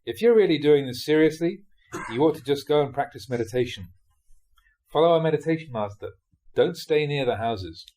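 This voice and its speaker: A man voicing a brisk, direct Buddhist meditation master giving instructions in a told tale. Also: a little high, animated, mellow, pitched above the performer's own voice, close to an everyday voice. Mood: instructing.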